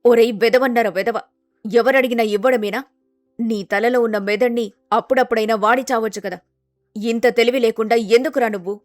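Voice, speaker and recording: A woman voicing a domineering, suspicious middle-aged mother-in-law who speaks in a sharp, scolding, accusing way in a dramatized story. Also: a little high, steady tone, fairly quick, no echo, clean studio sound, soft background music, lightly acted. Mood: angry, scolding.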